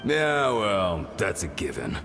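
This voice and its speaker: An actor playing an excitable, admiring young apprentice in a video game, with a gushing delivery. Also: male voice, sits low, slow, heavily acted. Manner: animated, gushing. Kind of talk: scripted video game dialogue. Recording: long hall reverb, music behind.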